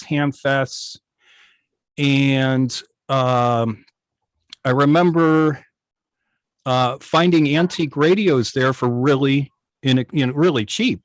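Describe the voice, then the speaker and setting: Middle-aged man reminiscing haltingly, dry sound. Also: medium pitch, no room sound. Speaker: guest, radio hobbyist. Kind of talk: casual reminiscing in an online club interview. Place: indoors, on a video call.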